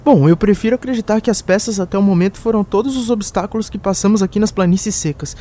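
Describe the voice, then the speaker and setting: Determined, hopeful female traveller, played by a woman, warm mellow timbre, sci-fi audio drama. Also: medium pitch, fast talker, natural voice.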